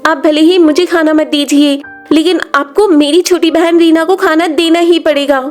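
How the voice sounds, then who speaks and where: A protective orphaned elder sister in a children's cartoon tale, acted by a woman. She has a high girlish voice, earnest and full of feeling. There is music behind her. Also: steady tone, moderate pace, lightly acted. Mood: indignant. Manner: earnest, emotional. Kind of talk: children's animated story dialogue. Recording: music under the voice.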